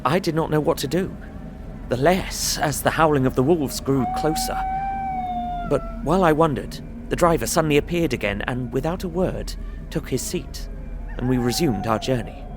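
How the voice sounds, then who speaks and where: A man playing an anxious traveller in an audio drama, recounting a frightening journey in measured, literary, suspenseful narration. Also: on the high side, huge pitch swings. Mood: fearful wonder. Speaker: narrating lead character.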